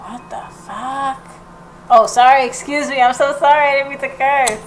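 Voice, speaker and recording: A woman's voice: high-pitched, steady tone, moderate pace. A woman hosting a let's play, reading game text aloud and dropping in informal, reactive asides. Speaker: gaming video host. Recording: clear background noise and game ambience.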